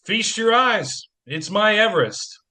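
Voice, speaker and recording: An actor playing a harried single dad in an audio play, joking and self-mocking in a theatrical manner. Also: male voice, high-pitched, lively intonation, a little slow, bright, forceful tone, heavily acted. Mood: wry banter.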